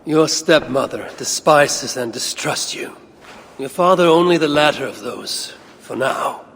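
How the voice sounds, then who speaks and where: A man playing a shrewd, grim Norse god in a video game, with a gravelly voice, speaking in dramatic, deliberate dialogue. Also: a little high, lively intonation, fairly bright tone, full character voice. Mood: cold, knowing.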